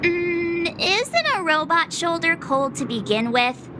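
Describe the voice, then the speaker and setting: A girlish young adventurer in a video game. She is perky and quippy, very high in pitch and slow in pace. Clear ambience runs behind her. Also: expressive, full character voice. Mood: playful, curious. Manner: playful, puzzled, questioning. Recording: clear background ambience noise.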